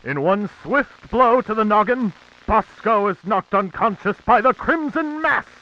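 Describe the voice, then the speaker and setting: An actor playing an earnest, excitable announcer narrating a 1940s radio serial in a declamatory, suspenseful way. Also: male voice, sits high, unhurried. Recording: dull, noisy old-time radio sound.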